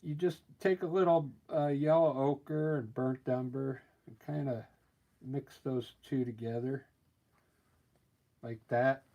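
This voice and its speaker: A man with a scratchy voice from allergies, painting live and explaining his steps in a relaxed, thinking-aloud way. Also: medium pitch, slow pace, vocal fry, dull audio. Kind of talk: casual live painting demonstration.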